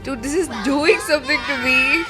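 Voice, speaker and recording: A woman's voice: high voice, steady tone, normal speed. A young woman giving casual, exclamatory, slangy livestream reactions while playing a game. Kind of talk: casual playthrough reaction commentary. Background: game music.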